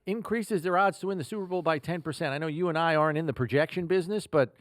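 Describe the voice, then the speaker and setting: A man with an American accent hosting a football podcast, talking through statistics in a measured, analytical, conversational way. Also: on the high side, no room sound, clean studio sound. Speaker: sports podcast host.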